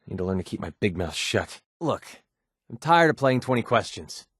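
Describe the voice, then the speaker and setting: An actor playing a cynical, wisecracking young mercenary for a video game, with an American accent and a streetwise, informal delivery. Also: male voice, fairly low pitch, sing-song, moderate pace, a bit bright, husky, dry recording, studio quality, heavily acted. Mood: wary, irritated. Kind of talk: video game companion dialogue.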